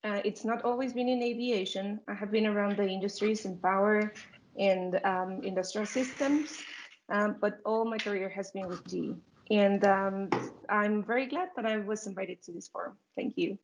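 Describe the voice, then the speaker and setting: A woman from Mexico gives a polite, measured self-introduction on an online panel. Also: medium pitch, noisy background. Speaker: engineering company staff, panelist. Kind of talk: self-introduction on a video call.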